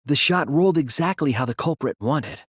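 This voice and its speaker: A man voicing an earnest, self-doubting teenage boy detective in a dramatic video game, speaking in a deliberate, explanatory way. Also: a little high, animated, breathy edge, no echo, clean studio sound. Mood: insistent. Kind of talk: dramatic English video game voice acting, deductive monologue.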